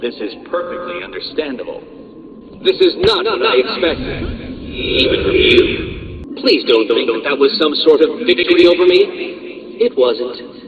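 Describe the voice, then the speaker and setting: An actor playing an arrogant, condescending genius villain in a video game, with a pompous, sneering, wordy delivery. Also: male voice, on the high side, animated, measured pace, vocal fry, pauses often, highs cut off, a crowd in the background. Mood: scornful.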